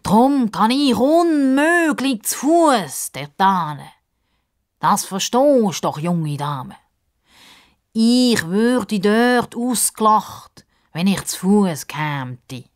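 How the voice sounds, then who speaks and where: A woman voicing a pompous, grumbly male crocodile who talks in a ponderous, drawn-out way in a children's story. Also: mid-range voice, animated, pitched above the performer's own voice. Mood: self-important. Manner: ponderous, drawn-out, self-important speech.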